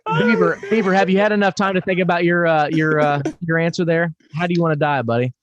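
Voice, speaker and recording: A man with a booming voice co-hosting a casual sports podcast, joking crudely and loosely. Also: steady tone, fast, dull audio, a little hiss or hum. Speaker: podcast co-host.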